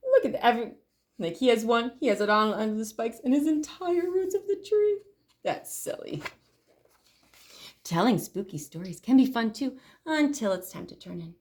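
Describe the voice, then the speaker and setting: Female storytime host, lively swooping pitch, expressive picture-book reading with chatty asides for young listeners.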